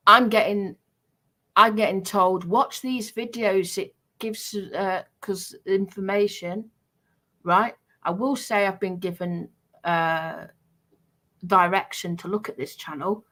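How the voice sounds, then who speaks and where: A woman's voice: medium pitch, sharp tone. A British woman streamer talking casually and unscripted, in a defensive, insistent manner. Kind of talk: casual unscripted livestream talk.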